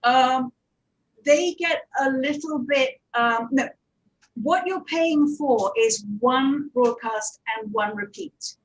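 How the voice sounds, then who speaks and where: A woman's voice: high-pitched, expressive, takes it slowly, low-quality recording. A woman talking informally as a panelist and producer in a discussion. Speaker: panelist, audio drama producer and coach.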